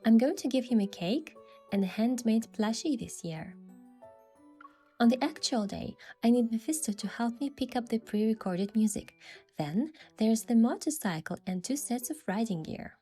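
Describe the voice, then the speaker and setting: A woman's voice: fairly high pitch, lively intonation, normal speed, dark tone, slightly rough. A woman giving scripted first-person story narration with acted dialogue. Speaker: narrator and lead character of a game event story. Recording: music behind.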